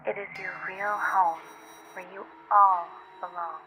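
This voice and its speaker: A woman's voice: medium pitch, steady tone, unhurried, pushed and bright. Grandiose, sinister mother matron. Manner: formal, grandiose, saccharine endearments. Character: huge, sickly matron, sweetly sinister cult-like mother. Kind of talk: theatrical horror game voice acting. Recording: music behind the voice.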